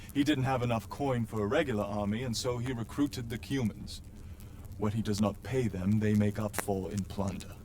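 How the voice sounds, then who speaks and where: A man's voice: fairly low pitch, a little mellow, a little hiss or hum, close to an everyday voice. An actor playing an earnest, respectful young nobleman in a game cutscene, explaining things politely. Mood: matter-of-fact. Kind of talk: video game cutscene acting.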